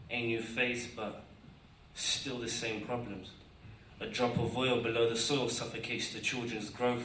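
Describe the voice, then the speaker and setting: A man's voice: medium pitch, a bit bright, a little hiss or hum. A young man reading his own poem aloud at an event with a rhythmic, declamatory delivery. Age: young adult. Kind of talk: poetry reading. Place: indoor event room. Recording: roomy hall, distant mic.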